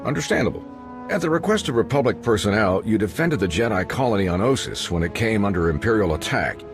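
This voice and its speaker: An actor playing a personable, showy galactic news reporter and host in a video game, with polished delivery. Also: male voice, fairly low pitch, animated, quick pace, music in the background. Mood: polished, broadcast. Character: smooth, chatty male galactic news reporter and show host.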